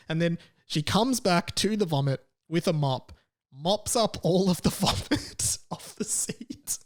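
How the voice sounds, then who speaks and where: Man telling a comic story, podcast style. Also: high-pitched. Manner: casual swearing. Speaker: podcast host. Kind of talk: casual comedic storytelling.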